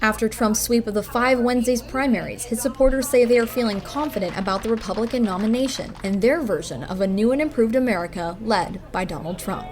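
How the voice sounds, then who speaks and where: A woman working as a television news reporter, delivering scripted broadcast reports in a measured, emphatic way. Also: a little high, darkish tone, a little hiss or hum.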